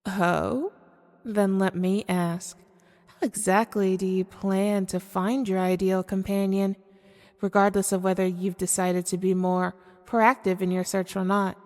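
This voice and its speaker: A woman playing a manipulative, seductive female demon in an ASMR audio roleplay, speaking formally and deliberately in a coaxing way. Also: mid-range voice, little pitch movement, a little mellow, lightly acted. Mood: amused, taunting. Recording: long cavernous reverb.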